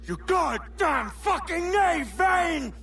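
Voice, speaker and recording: A man's voice: extremely high pitch, very animated, takes it slowly, pushed and bright, raised voice, soft background music, full character voice. A man playing a brash, blunt, exasperated pirate captain in a video game, cursing with scorn. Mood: exasperated, angry. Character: brash, exasperated young pirate captain, hot-tempered but principled. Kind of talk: dramatic video game acting.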